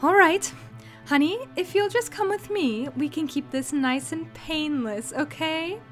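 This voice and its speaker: A woman playing a sweet, polite henchwoman who makes threats gently and cheerfully in an audio drama. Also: high-pitched, a little slow, soft background music. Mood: sweetly cheerful. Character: sweet, polite young female henchwoman of a villain. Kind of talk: scripted audio drama acting.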